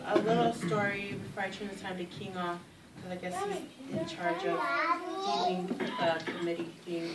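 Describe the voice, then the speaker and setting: A woman wrapping up a family reunion with loud, heartfelt, halting remarks full of fillers. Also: mid-range voice. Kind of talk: emotional closing remarks. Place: large room at family gathering. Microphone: distant from recorder. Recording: echoey room, distant, loud noise.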